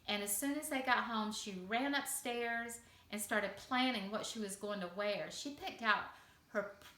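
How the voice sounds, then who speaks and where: A woman telling a children's story in simple, expressive phrases for young listeners. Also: medium pitch, unhurried. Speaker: preschool teacher telling a bedtime story. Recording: echoey room.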